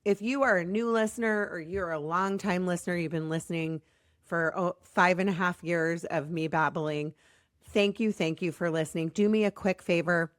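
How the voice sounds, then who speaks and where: An American woman hosting a podcast in a chatty, rambling, self-deprecating way, her voice slightly raspy as it recovers from being lost. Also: on the low side, steady tone, normal speed, dry recording, studio quality. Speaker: podcast host.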